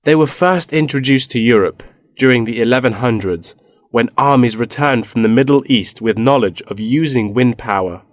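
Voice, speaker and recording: A man's voice: medium pitch, normal speed, dry recording, clean studio sound, natural voice. A young man playing an earnest university student in a scripted dialogue, eagerly explaining his research. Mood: earnest, explanatory. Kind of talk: scripted listening-test dialogue.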